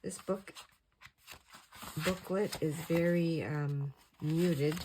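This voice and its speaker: A middle-aged woman with a hoarse voice chatting in a relaxed, rambling way as she crafts at her table late at night. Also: low-pitched, drawn-out words, a little hiss or hum, poor audio. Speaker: crafting channel host. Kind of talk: casual crafting chat.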